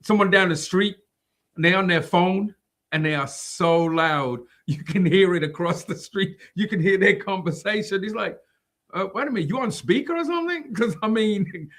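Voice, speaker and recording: Loud, laughing man, slightly high voice. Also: normal speed. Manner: animated storyteller, acts out quotes, laughs often. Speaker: podcast co-host. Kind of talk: casual faith discussion.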